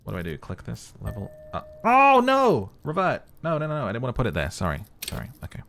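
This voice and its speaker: A man's voice: medium pitch, huge pitch swings, quick pace, gravelly, fairly bright tone, faint music behind. A man hosting a video game playthrough, mixing casual muttered commentary with dramatic reading of game text. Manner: thinks aloud, muttering and humming to himself.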